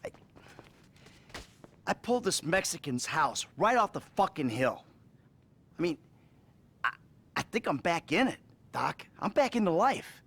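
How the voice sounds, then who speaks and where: A man's voice: a little high, very animated, a little slow, long pauses, fairly bright tone, heavily acted. An actor playing a troubled middle-aged American criminal confessing to his therapist, hesitant, sighing and sardonic, in a naturalistic video game style. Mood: agitated, bitter.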